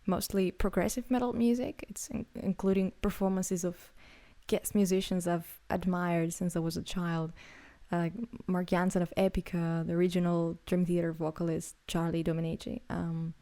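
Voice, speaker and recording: A woman's voice: fairly low pitch, flat delivery, normal speed, mellow. Greek-born woman singer, hesitant speech. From Greece. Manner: hesitant, many fillers and restarts. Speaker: singer and songwriter. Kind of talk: interview answers.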